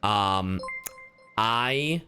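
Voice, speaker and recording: Male streamer, lower register, loose chatty game commentary with casual swearing and asides to viewers. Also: expressive, extremely slow, pushed and bright, soft background music. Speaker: livestreamer, gamer.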